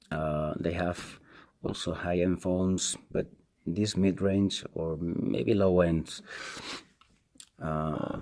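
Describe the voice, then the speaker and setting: A man with a Spanish accent and Spanish as his first language, giving a casual, unscripted product review in a halting, hesitant way and repeating himself. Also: low-pitched, warm tone. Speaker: tech reviewer, video host.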